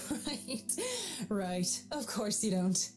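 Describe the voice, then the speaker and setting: A woman voicing a sharp-witted, principled young woman in a game, speaking in a dry, reproachful way. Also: mid-range voice, expressive, extremely slow, over music, voice pushed up. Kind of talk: visual novel character voicing.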